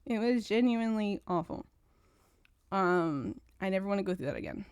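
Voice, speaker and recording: A woman's voice: mid-range voice, expressive, normal speed, a little mellow, clear, resonant tone, no echo, clean studio sound. A woman hosting her own podcast in casual, rambling chat, sounding stuffy and congested. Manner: rambling, chatty, frequent restarts. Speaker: podcast host.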